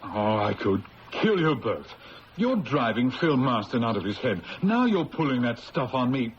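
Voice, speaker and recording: A stammering, jittery crook. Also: male voice, medium pitch, sing-song, unhurried, highs cut off. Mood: blustering. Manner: stammering, defensive. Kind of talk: 1970s radio drama acting. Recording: hissy old radio broadcast.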